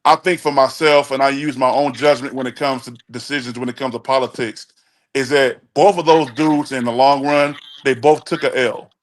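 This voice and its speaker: A man's voice: mid-range voice, fairly even intonation, moderate pace. Blunt, emphatic man in his forties. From Chicago, USA. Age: 40s. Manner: blunt and emphatic, stumbles and restarts. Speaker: guest caller on a talk show. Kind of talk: casual opinion chat.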